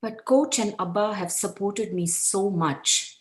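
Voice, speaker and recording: A woman's voice: mid-range voice, a little slow, lightly acted, rough recording. A woman reading the part of an anxious but determined teenage girl athlete in a play script. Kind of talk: table read of a play script.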